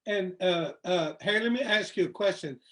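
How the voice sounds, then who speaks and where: A man hosting an interview in a loose, rambling way, circling back on his words and filling pauses. His voice sits high for a man. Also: lively intonation, a bit bright. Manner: rambling, many fillers and restarts. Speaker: host. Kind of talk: casual interview chat.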